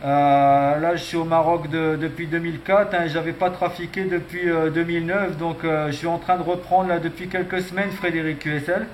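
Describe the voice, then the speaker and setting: A man's voice: on the high side, flat delivery, fairly quick, few pauses. A man chatting as a radio operator, sprinkling his talk with fillers. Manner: chatty, says "hein" often. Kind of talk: radio contact exchange. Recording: echoey, noisy radio audio.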